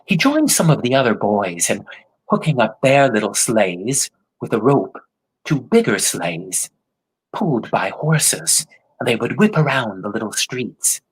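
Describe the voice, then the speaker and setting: A male storyteller tells a fairy tale in an unhurried way, tossing in playful asides and sound effects. His husky voice rises and falls widely. He leans into the telling for his listeners. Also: mid-range voice, sing-song, rough recording.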